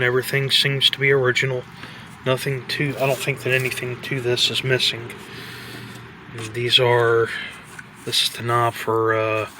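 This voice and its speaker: A man talks through a casual show-and-tell in a tentative, halting manner. His voice is bright and quite monotone, with little rise or fall. Faint noise sits behind him, as in a homemade vlog. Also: mid-range voice. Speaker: hobbyist vlogger.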